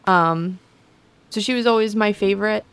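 A young woman, a podcast guest, a little hoarse, chatting casually with many fillers. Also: medium pitch, moderate pace, a bit bright, no echo.

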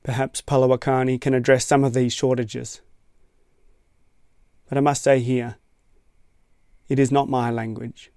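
A man from Tasmania with an Australian accent, reading a reflective scripted essay in a measured, thoughtful way. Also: medium pitch, no room sound, studio quality. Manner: measured, thoughtful, even-toned. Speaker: essay narrator.

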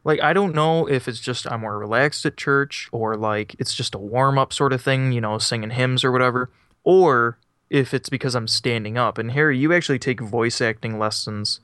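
A man's voice: mid-range voice, moderate pace, fairly bright tone, smooth, no room sound, studio quality. A young man co-hosting a casual podcast from his couch, rambling and self-deprecating, laughing often. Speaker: podcast co-host. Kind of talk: casual chat. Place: on a couch at home. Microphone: microphone set up beside him.

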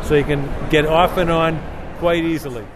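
A man's voice: a little high, fairly even intonation, moderate pace, a crowd in the background. A man with a Boston accent, explaining a children's boot in a folksy way, often repeating words. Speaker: children's boot company representative.

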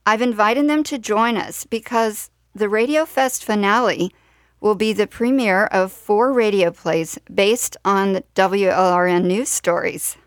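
A woman's voice: mid-range voice, fairly even intonation, unhurried, dry recording. Woman radio host, close dry sound. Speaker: radio show host and interviewer. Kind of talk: scripted narration and interview questions.

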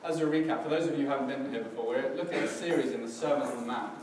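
Middle-aged preacher, hoarse and crackly, casual and hesitant; distant mic, strong hall echo, noisy room. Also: male voice, mid-range voice, very fast. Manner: relaxed, self-deprecating, hesitant with fillers and restarts. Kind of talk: casual church sermon. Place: church hall, in front of a congregation. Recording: distant, very echoey hall with background noise.

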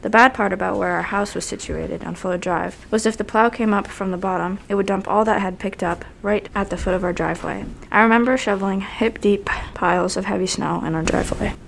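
A woman's voice: medium pitch, steady tone, a little fast, creaky, hardly any pauses, slight background noise. A teenage girl, a student, reading written memories aloud in a plain way. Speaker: student reader.

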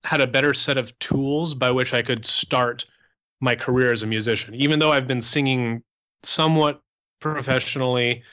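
A man's voice: mid-range voice, moderate pace. A young man from Canada speaking as an interview guest, explaining his life as a musician in a conversational, sociable way. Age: young adult. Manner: animated explaining, sociable extrovert.

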